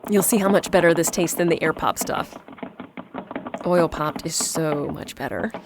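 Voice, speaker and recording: A woman's voice: low voice, moderate pace. Woman playing cheery home cook explaining stuff in an audio drama, chatty, noisy background. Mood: cheerful. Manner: instructive, chatty. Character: cheerful home cook making stovetop popcorn.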